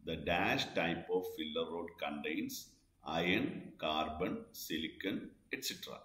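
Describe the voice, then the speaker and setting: Male tutor for scripted quiz narration: deep voice, deliberate and repetitive, roomy echo. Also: fairly bright tone. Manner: deliberate, repeats each question and answer. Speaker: instructor. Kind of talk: scripted question-and-answer lesson narration. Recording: echoey, roomy voice-over.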